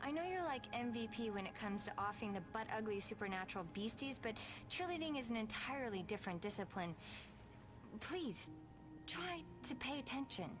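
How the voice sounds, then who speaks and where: Woman as a high-pitched, bossy American cheerleader. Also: expressive, fairly quick, close to an everyday voice. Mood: bossy, exasperated. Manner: peppy, haughty, sarcastic. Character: bossy, vain teenage cheerleader girl. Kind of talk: video game voice acting. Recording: music over the voice.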